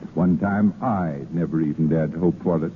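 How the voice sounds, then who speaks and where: A man's voice: very deep voice, moderate pace. An actor playing an eager, devoted man of means in an old radio drama, fond and reassuring. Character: eager, devoted wealthy man adoring his sweetheart. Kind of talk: 1930s-40s radio drama acting. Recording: tinny noisy vintage radio recording.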